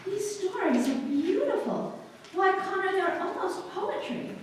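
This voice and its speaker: A woman playing a haughty, sharp-witted young society heiress in an old-time radio comedy, brisk and imperious. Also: extremely high pitch, very animated, heavily acted. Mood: earnest. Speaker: actor in a live radio play. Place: live stage production before microphones. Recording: very echoey hall, distant mic, hissy.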